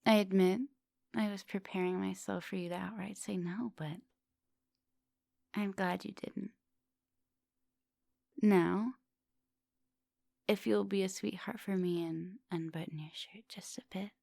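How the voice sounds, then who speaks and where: Casting: woman to play a female vampire professor for ASMR roleplay; gentle, hungry seductress, intimate whispers and murmurs, fry-laden voice, very dry close recording. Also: medium pitch, fairly even intonation, unhurried, long pauses, no room sound. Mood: tender, seductive. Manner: breathy murmurs and whispers, coaxing pet names. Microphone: close microphone.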